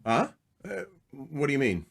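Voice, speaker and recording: A man playing an awkward, evasive, devoted husband in an old-time radio play, halting in his delivery. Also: medium pitch, sing-song, creaky, a bit bright, heavily acted. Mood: nervous, evasive. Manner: hesitant, halting.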